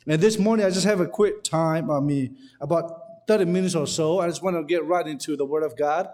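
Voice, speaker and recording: A male guest preacher thanks the congregation before his sermon. He is earnest and a little halting, often restarting words. His voice rings with the long reverb of a church hall. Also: a little high, darkish tone. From Burma. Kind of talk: sermon opening.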